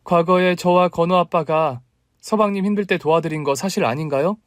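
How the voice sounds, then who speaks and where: Man doing hurt middle-aged wife, woman, indignant, emotional, raised pitch, dry. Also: a little fast, dry recording, close to an everyday voice. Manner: pleading then indignant, emotional.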